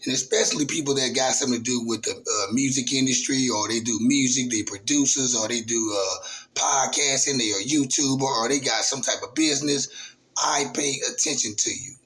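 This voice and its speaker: A man, hosting his own channel in a casual, halting monologue full of fillers and restarts. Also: medium pitch, fairly bright tone, poor audio. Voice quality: raspy voice. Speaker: YouTube channel host.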